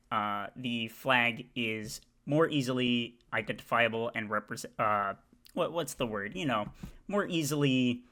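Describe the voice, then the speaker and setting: A man with a bright, forward voice at a middling pitch talks casually. He stops and starts often, filling the gaps with drawn-out "uh"s as he works through a ranking. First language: English. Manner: halting, many "uh" fillers and restarts. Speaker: video creator ranking flags. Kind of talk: casual commentary.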